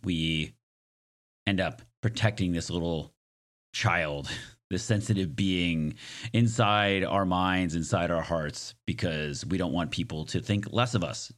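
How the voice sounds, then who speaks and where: A man hosting a podcast solo in a casual, reflective way, with a slightly hoarse, raspy, smoky voice and a self-deprecating, joking manner. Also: deep voice, unhurried. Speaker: podcast host.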